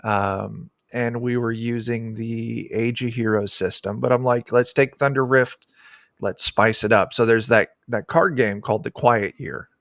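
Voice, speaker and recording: Slow-paced man with a gravelly creak. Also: medium pitch, steady tone, a bit bright, no room sound, studio quality. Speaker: guest, tabletop game designer. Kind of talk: casual interview explanation. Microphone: desk microphone on a video call.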